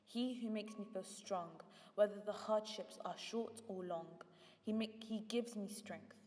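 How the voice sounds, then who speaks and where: A woman's voice: mid-range voice, measured pace, darkish tone. A young woman in her teens delivering a confessional, partly rhymed spoken-word monologue, as if leaving a reflective message for a friend. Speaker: student narrating an audio journal. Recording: long reverb with music behind. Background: music.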